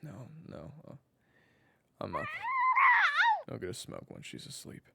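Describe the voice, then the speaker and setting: A man playing a weary, haunted assassin and new father in an audio roleplay, with a deep gravelly voice and a dry, halting, confessional delivery. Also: huge pitch swings, a bit bright, slight background noise, heavily acted. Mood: drained. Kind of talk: first-person audio roleplay narration. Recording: echoey, long reverb.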